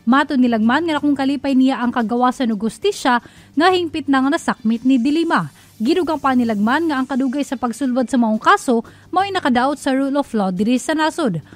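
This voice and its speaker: High-pitched Filipina news anchor. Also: female voice, expressive, fairly quick. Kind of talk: scripted news bulletin reading.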